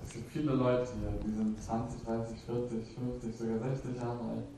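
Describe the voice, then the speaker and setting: A man's voice: mid-range voice, flat delivery, normal speed, warm tone, slight background noise. A man interpreting a teaching live, phrase by phrase, sometimes hesitating with filler sounds. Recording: very echoey, from a distance.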